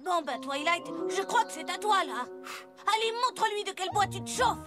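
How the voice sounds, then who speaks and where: A woman's voice: extremely high pitch, lively intonation, normal speed. A woman voicing a young, eager, loyal little sidekick in a French cartoon dub. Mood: eager, encouraging. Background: music.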